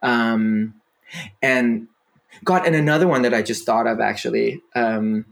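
A man's voice: mid-range voice, fairly even intonation, unhurried, vocal fry. A man, a guest in an interview, talking in a hesitant way with many fillers and restarts. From Denmark. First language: Danish. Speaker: guest writer. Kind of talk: casual interview conversation.

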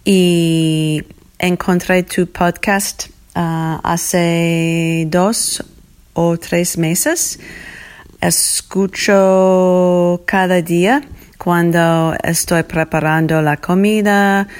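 A woman with an American accent speaking learner Spanish haltingly, in a personal message to a show she follows. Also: lowish voice, clear, resonant tone. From United States. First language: English. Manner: halting, searching for words. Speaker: podcast listener sending a voice message.

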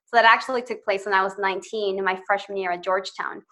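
A woman in her twenties from Ecuador talks as a podcast guest. Her tone is bright and forceful, at a middle pitch. She tells her story earnestly and eagerly, laughing lightly between phrases. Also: fairly even intonation, quick pace. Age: 20s. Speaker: podcast guest, social entrepreneur. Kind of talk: personal storytelling in interview.

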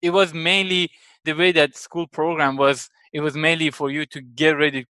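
A man's voice: on the high side, level tone, moderate pace, pushed and bright, clean tone, no echo. A man in his thirties from Iran, a guest chatting casually in an interview, laughing often and checking in with "correct?". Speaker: guest, company founder.

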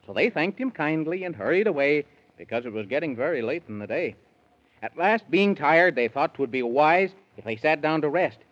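A man's voice: on the high side, lively intonation, moderate pace. A man narrating a 1930s children's radio serial in sing-song rhyming verse. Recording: dull 1930s radio recording.

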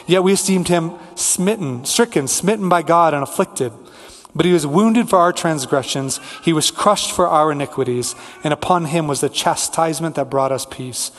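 A man preaching a sermon as a pastor, speaking earnestly with deliberate repetition and lists. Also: on the high side. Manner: earnest, rhetorical repetition and listing. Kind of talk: sermon with prayer and scripture reading. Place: large reverberant church room. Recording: hall reverb, amplified church preaching.

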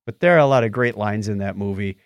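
A man's voice: lowish voice, fast talker, dry recording. A man co-hosting a podcast, chatting casually about movies and telling stories in a chatty way. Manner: chatty, animated storytelling. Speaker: podcast co-host.

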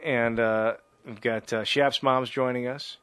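A man's voice: mid-range voice, steady tone, measured pace, fairly bright tone, clear, resonant tone, no echo. A man in his forties hosting a casual, rambling chat in a gym, talking loosely with many fillers and laughs into a cheap condenser mic. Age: 40s. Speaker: podcast host. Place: gym, indoors. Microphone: cheap condenser mic with pop filter.

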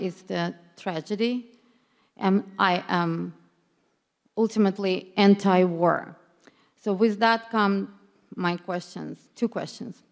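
A woman's voice: medium pitch, darkish tone. A woman from Ukraine, an audience member at a lecture, asking an earnest, formally phrased question. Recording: long hall reverb.

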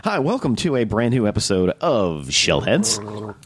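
A man hosting a casual podcast chat, enthusiastic and rambling, with frequent restarts and a slightly hoarse voice. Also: fairly low pitch, huge pitch swings, measured pace. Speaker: podcast host.

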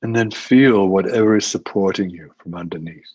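A man leading a guided meditation as a teacher, giving gentle, unhurried instructions with long pauses. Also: sits low, slightly rough, no echo. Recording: muffled, dull lo-fi audio.

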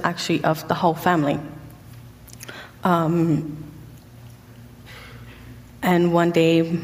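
A young woman from Egypt giving a personal testimony as a guest speaker, halting often with ums and pauses. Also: lowish voice, long reverb tail. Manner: hesitant, many ums and pauses. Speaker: guest speaker sharing her story.

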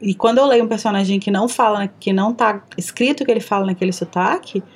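A woman's voice: medium pitch, quick pace, darkish tone, slightly rough. A woman with a strong Minas Gerais accent, co-hosting a book-discussion podcast in a playful, chatty way. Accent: Minas Gerais Portuguese. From Minas Gerais, Brazil. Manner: playful, joking, chatty with many fillers. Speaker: podcast co-host. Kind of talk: casual chat.

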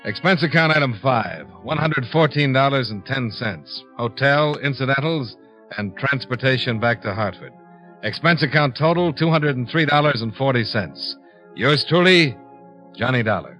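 An actor playing a shrewd, unhurried insurance investigator in a 1950s radio drama, with a dry, matter-of-fact delivery. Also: male voice, medium pitch, animated, over music, lightly acted. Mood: brisk, matter-of-fact. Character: cool, shrewd male insurance investigator, hard-boiled and unhurried. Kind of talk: 1950s radio detective acting. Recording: dull 1950s radio broadcast recording.

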